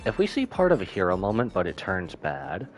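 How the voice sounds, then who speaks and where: A man's voice: sits low, wildly swooping pitch, brisk, soft background music. A man giving casual, slangy live reaction commentary as a game streamer. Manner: slangy, informal.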